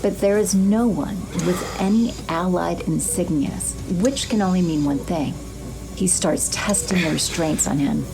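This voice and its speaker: A woman's voice: lowish voice, a little slow, over music, poor audio. A woman giving dramatic scripted narration as the narrator of an audio drama.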